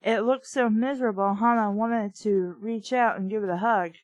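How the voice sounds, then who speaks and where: A woman's voice: medium pitch, steady tone, moderate pace, darkish tone, no echo. A woman reviewing a novel, reading passages aloud with wry asides and stumbling over names. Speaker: book reviewer.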